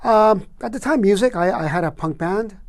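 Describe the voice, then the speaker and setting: A man's voice: high voice, normal speed. A middle-aged man from Cuba, a poet guest, answering questions in a wry, joking, easygoing way. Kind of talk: interview answers.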